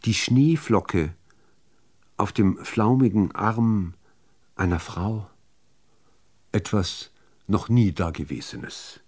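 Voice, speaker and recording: A man reciting literary poetry, phrasing it in a measured, deliberate way with expressive emphasis. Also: lowish voice. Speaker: reader of poems.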